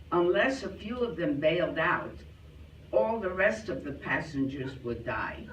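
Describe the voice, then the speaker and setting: A man telling scripted jokes to a live audience with deliberate, theatrical delivery. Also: fairly high pitch, pushed and bright. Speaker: joke teller at a club show. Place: club hall, live performance. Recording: echoey hall, distant, faint noise.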